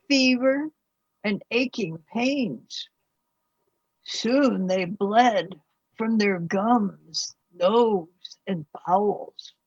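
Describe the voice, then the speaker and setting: Dull, dry recording. Anguished mother of a past century , played by a woman, husky, radio drama. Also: medium pitch, dry recording. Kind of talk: radio play acting.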